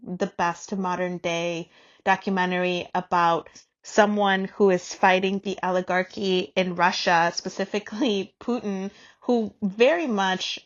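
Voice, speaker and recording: A woman's voice: mid-range voice, fairly even intonation, unhurried. Casual female podcast co-host, slightly dull audio. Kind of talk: casual conversational debate.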